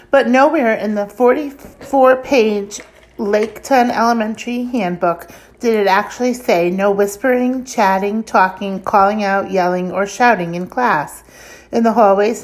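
A woman reading a children's book aloud as scripted narration, leaning deliberately on key words and lists. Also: mid-range voice.

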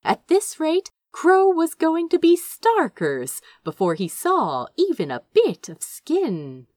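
A woman reading a story aloud as dramatic scripted narration, with expressive, drawn-out emphasis. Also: sits high, dry recording, clean studio sound. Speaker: amateur audiobook reader of fan fiction.

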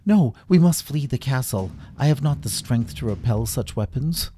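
A man acting an animated, kindly storybook king. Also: fairly low pitch, unhurried, a little hiss or hum. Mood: urgent. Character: kindly, regal male fantasy king, dismayed under threat.

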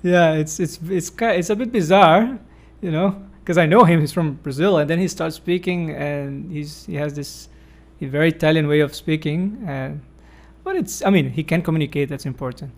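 Guy hosting a research chat, bit high voice, lots of uh, explains stuff carefully. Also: moderate pace. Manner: thoughtful, explanatory, frequent fillers. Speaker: host and academic supervisor. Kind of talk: casual academic conversation.

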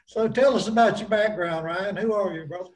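A man's voice: sits high, a little fast. A man hosting a casual interview show, with plain, folksy phrasing as he welcomes and questions his guest. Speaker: podcast host.

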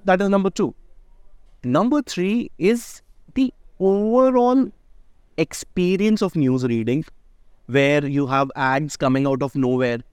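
A man's voice: fairly high pitch, measured pace, darkish tone, clear, resonant tone, no echo, studio quality. A man, a startup founder, answering interview questions in a casual, emphatic way and often asking "right?". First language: not Indonesian. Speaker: startup founder and CEO, guest.

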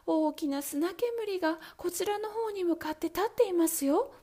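A woman's voice: very high voice, lively intonation, moderate pace, mellow, pitched above the performer's own voice. A woman voicing a young sister who calls down answers in a lightly raised voice. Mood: calling. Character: calm older sister keeping watch.

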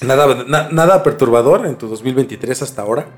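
Mid-pitched man hosting over faint music. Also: expressive, brisk. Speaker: podcast host. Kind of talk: conversational mystery podcast presenting.

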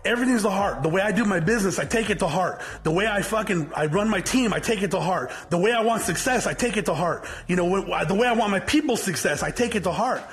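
A man's voice: high voice, lively intonation, quick pace, few pauses. A man in his forties giving an unscripted motivational talk to his viewers. He talks in a blunt, fiery way, swears freely and often restarts his sentences. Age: 40s. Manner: rambling, repetitive, frequent swearing and restarts. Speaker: motivational vlogger, business owner.

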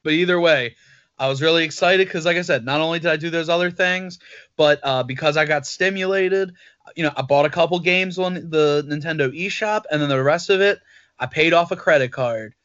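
A man co-hosting a podcast talks in loose, rambling banter, mocking himself as he goes. His voice is bright and a touch high, and it sounds dry and slightly dull, recorded close on a lo-fi mic. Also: brisk, dry recording. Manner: joking, rambling, self-mocking. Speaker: podcast co-host. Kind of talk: casual banter.

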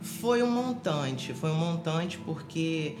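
A woman's voice: low voice, expressive. Woman from rio, telling her story, lots of um-ing and restarts, echoey room w music behind. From Rio de Janeiro, Brazil. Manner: halting, many fillers and restarts. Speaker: interview guest. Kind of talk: personal testimony in an interview.

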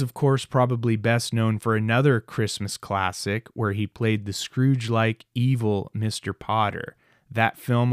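A man's voice: mid-range voice, clean tone, dry recording, studio quality. A man hosting a podcast, reading a scripted introduction to a classic radio drama. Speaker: podcast host.

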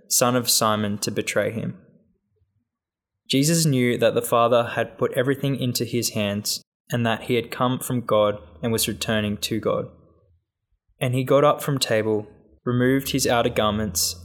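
A man reading a Gospel passage aloud in a measured, solemn way. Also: medium pitch. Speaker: scripture reader.

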